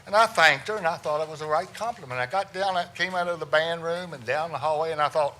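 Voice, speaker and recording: Middle-aged funeral director, loud, resonant, bright-toned, slightly higher voice, folksy joking speaker, faint hiss. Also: male voice, fast. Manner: loud, folksy storyteller with self-deprecating jokes. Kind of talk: humorous presentation to a lawyers' audience.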